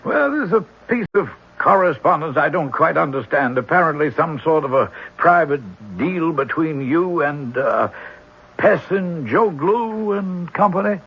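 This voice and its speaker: An actor playing a fussy, deferential company official in an old-time radio drama, a man who stammers apologetically while gently probing. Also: a little high, animated, unhurried, slightly rough, exaggerated voice. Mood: probing, hesitant. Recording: muffled old radio broadcast recording.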